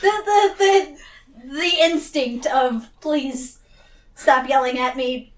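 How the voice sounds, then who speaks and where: A woman role-playing at a tabletop game, casual and halting in her delivery. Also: sits high, lively intonation. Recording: roomy echo, distant mic.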